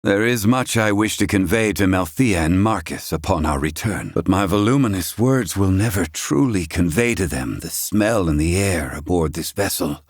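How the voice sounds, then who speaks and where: A man's voice: low voice, breathy edge, no room sound, clean studio sound. A man playing an erudite, haughty yet curious scholar in a video game, speaking in a formal, eloquent way with archaic wording.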